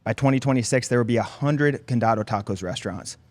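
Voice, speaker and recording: A man hosting a podcast interview, reading a short intro and then asking brief, relaxed questions. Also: mid-range voice, fast talker. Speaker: podcast host.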